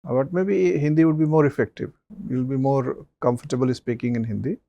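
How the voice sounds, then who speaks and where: A man, a mock interview panelist giving measured advice with many pauses and fillers. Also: mid-range voice, fairly even intonation, gravelly, dark tone, lo-fi sound.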